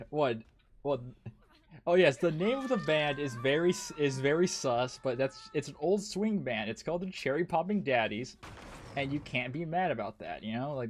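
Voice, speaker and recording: A man's voice: medium pitch, a little hiss or hum. A man chatting casually while gaming on a stream, rambling, swearing a lot and laughing often.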